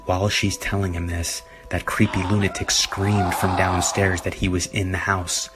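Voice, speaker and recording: A man narrating a scripted horror story in a measured, deliberate way. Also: low-pitched, level tone. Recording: music behind the voice. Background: background music.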